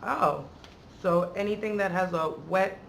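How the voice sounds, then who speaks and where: A woman's voice: mid-range voice, measured pace, gravelly, slight background noise. A woman hosting a beauty vlog in a casual, chatty, slangy way, wandering into playful asides. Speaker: beauty vlogger and channel host.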